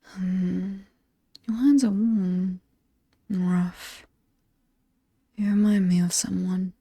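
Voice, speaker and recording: A woman with a British accent playing an alluring, sinister ageless demon in a horror ASMR roleplay, breathy and half-whispered, teasing and lingering over her words. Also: fairly low pitch, fairly even intonation, slow pace, dark tone, no room sound, studio quality. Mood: intimate, wistful. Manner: seductive, teasing, theatrically ominous, lingering on words.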